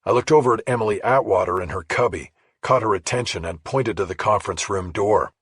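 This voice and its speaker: A man's voice: lowish voice, a little fast, fairly bright tone, breathy edge, no echo, clean studio sound. A man reading a first-person crime thriller audiobook as scripted narration.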